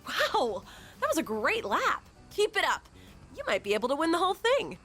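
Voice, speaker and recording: Game casting: peppy sports-team girl, bright and wildly animated over music. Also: extremely high pitch, moderate pace, heavily acted. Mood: upbeat. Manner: bubbly, enthusiastic.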